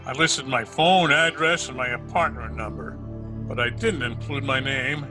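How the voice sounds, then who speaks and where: A man's voice: on the high side, natural voice. A man playing a wisecracking, sardonic private detective in an old-time radio drama, slangy and needling. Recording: home recording over video call.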